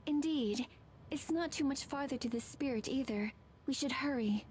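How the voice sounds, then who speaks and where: A woman voicing a gentle, protective, anxious young woman of the forest in a visual novel, speaking earnestly and with soft hesitation. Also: sits high, moderate pace, a little hiss or hum, natural voice. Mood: worried.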